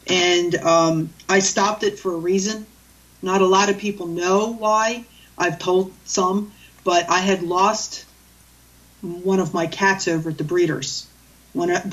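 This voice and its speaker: A woman's voice: lowish voice, recorded from a distance, low-quality recording. A woman, a guest animal communicator, telling stories in a rambling, chatty way with many restarts. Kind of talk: storytelling in a radio interview.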